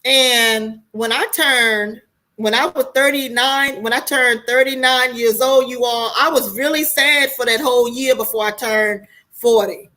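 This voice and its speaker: High, bright-voiced American woman in her forties. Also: steady tone, unhurried, rough recording. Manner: candid, colloquial, preachy, swears freely. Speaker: online show host talking to viewers. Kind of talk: casual livestream monologue.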